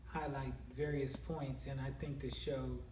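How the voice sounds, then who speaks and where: A man giving casual interview answers in a halting, measured way as a member of a theatre production. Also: mid-range voice, level tone. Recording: echoey, distant mic, faint room noise.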